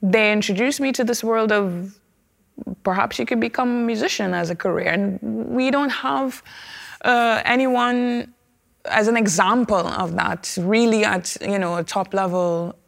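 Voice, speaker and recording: A woman from Trinidad and Tobago with a Trinidadian accent, a guest speaking thoughtfully in an interview and often pausing with fillers and restarts. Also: medium pitch, vocal fry. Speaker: opera singer, guest. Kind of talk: conversational interview.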